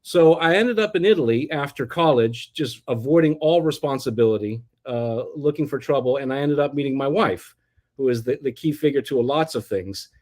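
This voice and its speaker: A man's voice: medium pitch, lively intonation, normal speed, a little mellow. A middle-aged man from the United States, telling his life story as an interview guest in a rambling way, with many restarts and fillers. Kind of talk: casual personal storytelling.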